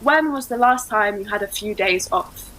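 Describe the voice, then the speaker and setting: English lady asking test questions, bright voice, friendly. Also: medium pitch, normal speed, rough recording. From England. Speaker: examiner. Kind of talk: mock speaking test.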